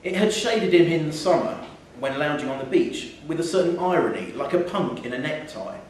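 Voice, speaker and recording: A man's voice: on the high side, animated, fairly quick. A man reading a literary short story aloud at a live event in a theatre. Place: theatre venue, indoors. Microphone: distant from speaker. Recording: very echoey, distant mic, faint noise.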